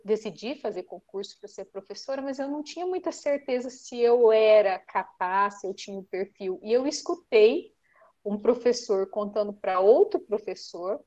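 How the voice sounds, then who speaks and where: Bright-pitched woman host, lively. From Paraná, Brazil. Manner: lively storyteller, laughs easily. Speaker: host, society director. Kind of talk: video-call interview. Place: home room with shelves. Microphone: wired earbuds on video call. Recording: muffled video-call audio.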